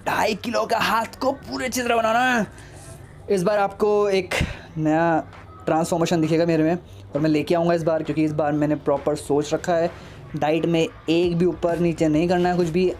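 A man's voice: fairly high pitch, fairly even intonation, normal speed, soft background music. A young man chatting casually to viewers in a vlog, upbeat and talking straight to them, filmed on a phone held at arm's length. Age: young adult. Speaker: vlogger. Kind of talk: casual vlog chat to camera. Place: home room, indoors.

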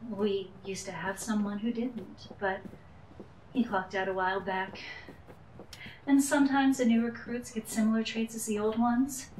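A woman's voice: on the high side, steady tone, moderate pace, a little mellow, natural voice. A woman playing a cool, teasing, evasive femme fatale in a noir radio drama. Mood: sardonic. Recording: roomy, distant mic, faint noise.

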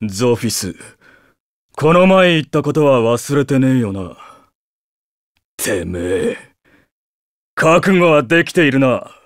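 An actor playing a brusque, impatient demon fighter in an anime game, rough-spoken and contemptuous. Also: male voice, mid-range voice, big pitch swings, takes it slowly, pauses often, no room sound, clean studio sound. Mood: scornful, threatening.

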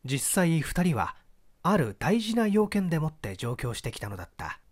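A man reading literary fiction as scripted audiobook narration in an expressive storytelling manner. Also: on the high side, moderate pace. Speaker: audiobook reader.

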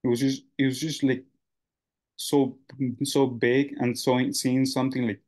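Flat-voiced young man from Ecuador. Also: medium pitch, a little slow. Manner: loose, rambling with many fillers and restarts. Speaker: guest, baseball coach. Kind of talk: casual interview answers.

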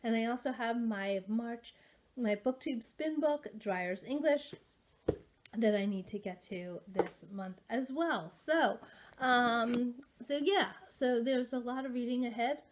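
A woman's voice: fairly high pitch, slow pace. Rambling woman vlogging at her desk. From United States. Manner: rambling, many fillers and restarts. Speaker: booktuber. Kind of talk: casual rambling book vlog. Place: home study with bookshelves, indoors. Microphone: webcam at desk.

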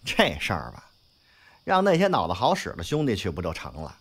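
Audio drama: man as cocky young male gangster, loyal at heart, slangy, very lively intonation. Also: sits low, a little fast, a little hiss or hum, exaggerated voice. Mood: cocky, griping. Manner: slangy, swearing, swaggering. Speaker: voice actor in a fan audio drama. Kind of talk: Chinese audio drama acting.

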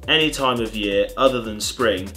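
A man's voice: on the low side, moderate pace, pushed and bright, soft background music. A young British man presenting an upbeat step-by-step tutorial outdoors in a garden. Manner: upbeat, clear instructional delivery.

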